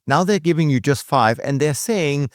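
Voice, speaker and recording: A man's voice: on the high side, expressive, moderate pace, no echo. Danish guy hosting a podcast, kinda rambly chat, dry close audio. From Denmark. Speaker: podcast host. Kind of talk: conversational interview.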